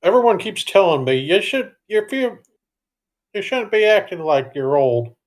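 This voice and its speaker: A man in his mid-twenties co-hosting a casual livestream chat with deadpan trolling jokes. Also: sits high, moderate pace. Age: 20s. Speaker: podcast co-host.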